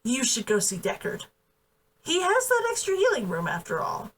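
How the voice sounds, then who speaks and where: A woman's voice: high voice, huge pitch swings, moderate pace, a bit bright, slightly rough, heavily acted. A woman reading the lines of a guarded, protective man in a novel, with a restrained, questioning manner. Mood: restrained concern. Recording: distant from the mic.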